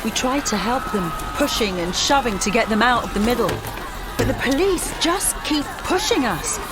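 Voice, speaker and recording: An actress playing a shaken protester, giving urgent eyewitness narration in an audio drama. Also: female voice, fairly high pitch, lively intonation, normal speed, natural voice. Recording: loud music and crowd behind.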